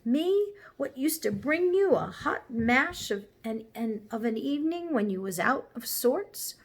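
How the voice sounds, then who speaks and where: Woman putting on a lively Cockney cabman, earnest and chatty. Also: sits high, unhurried, breathy edge, pitched above the performer's own voice, exaggerated voice. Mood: hurt, indignant. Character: chatty working-class London cabby, man, earnest and good-natured.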